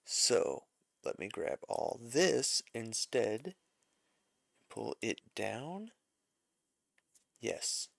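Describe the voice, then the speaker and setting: A man's voice: medium pitch, sing-song, extremely slow, creaky, long pauses, dry recording, clean studio sound. A man giving a casual music arranging lesson, thinking aloud as he works, with frequent fillers and self-corrections.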